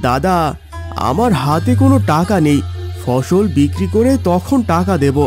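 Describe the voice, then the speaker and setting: A high, very animated voice as a weary, poor farmer in a cartoon. He is humble and lamenting, with music playing clearly under him. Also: normal speed. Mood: hopeful, humble. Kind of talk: Bengali moral-story cartoon dubbing.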